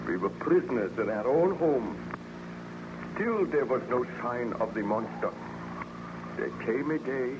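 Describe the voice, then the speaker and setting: Tormented baron, wildly swooping voice. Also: male voice, medium pitch, lo-fi sound. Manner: theatrical, anguished, formal diction. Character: tormented, fearful baron haunted by the monster he created. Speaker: lead actor and narrator in a radio serial. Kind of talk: old-time radio melodrama acting. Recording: noisy old radio transcription.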